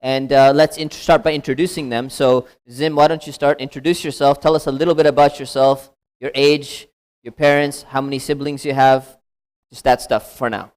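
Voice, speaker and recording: A man moderating a panel in a relaxed, conversational way. He asks probing follow-up questions and sprinkles his talk with Arabic blessings. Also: mid-range voice, normal speed, smooth.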